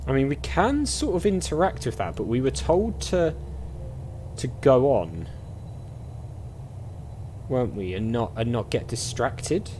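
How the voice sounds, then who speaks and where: A man's voice: medium pitch, sing-song, unhurried, long pauses, darkish tone, slight background noise. A chatty man giving casual gameplay commentary as host, with game ambience behind him. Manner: chatty, reads game dialogue aloud. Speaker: gaming video host. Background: faint game ambience.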